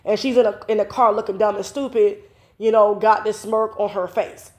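A woman's voice: medium pitch, steady tone, moderate pace, a little mellow. A woman in her thirties, congested from a cold, hosting her own commentary vlog from home in a chatty, opinionated way full of "you know" fillers, recorded close on a phone camera. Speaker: vlogger host. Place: home, indoors.

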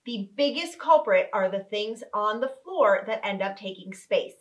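A woman's voice: mid-range voice, animated, normal speed, lo-fi sound. A woman in her thirties, a home organizing vlogger, walking viewers through a tutorial in an upbeat, instructive, step-by-step way. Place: living room with couch, indoors.

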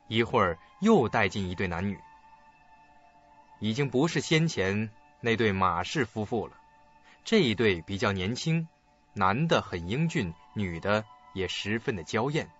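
A man narrating a radio drama in an expressive, suspenseful, scripted style. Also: fairly low pitch. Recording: dull lo-fi audio, faint music.

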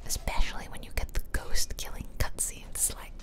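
A woman giving playful, chatty ASMR gameplay commentary in a whisper, close to the microphone. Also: normal speed. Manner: playful, chatty, self-amused. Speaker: game streamer and ASMR host. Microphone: close ear-to-ear microphone.

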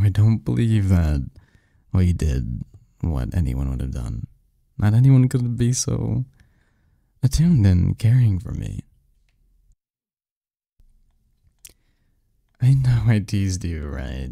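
A man acts out a lovestruck young man in an intimate ASMR romance. His voice is lowish, very dark and soft, with a husky grain. He murmurs haltingly, stammering through his confession, close and dry. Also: big pitch swings, no room sound, full character voice. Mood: tender, admiring. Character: lovestruck young male research assistant confessing to his best friend. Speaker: audio roleplay performer.

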